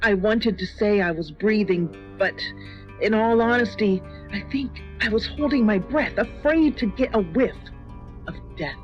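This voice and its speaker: Slow, suspenseful woman reading horror. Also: mid-range voice, animated. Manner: measured, dramatic, suspenseful. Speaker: story reader. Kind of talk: scripted first-person horror narration. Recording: dull lo-fi audio with music.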